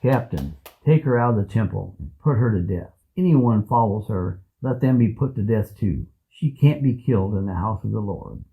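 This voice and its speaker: An actor playing a kindly, steadfast old priest in a scripted Bible audio drama, speaking in a measured, earnest way. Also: male voice, fairly low pitch, lively intonation, vocal fry, narrow, tinny audio, slight background noise. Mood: commanding. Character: kindly, steadfast old male priest guiding a child king.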